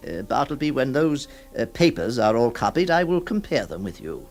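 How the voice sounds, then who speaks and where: A man's voice: medium pitch, very animated, moderate pace, faint music behind. An actor playing a flustered, hesitant lawyer who stammers, also narrating his story in a radio drama. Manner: stammering, exasperated, theatrical.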